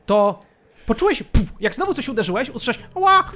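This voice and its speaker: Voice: medium pitch, sing-song, a little fast, pushed and bright. A game master narrating a tabletop roleplaying game and adding vocal sound effects.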